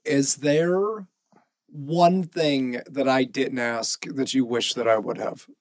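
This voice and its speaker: A man's voice: medium pitch, fairly even intonation, takes it slowly, no room sound. A man in his forties hosting a podcast, friendly and joking as he leads his guest through questions. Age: 40s. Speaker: podcast host. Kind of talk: casual podcast interview.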